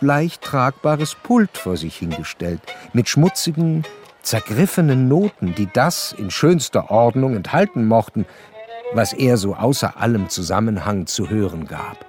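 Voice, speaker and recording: An actor playing a curious, educated gentleman who narrates a literary radio play in a measured, deliberate way. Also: mid-range voice, close to an everyday voice.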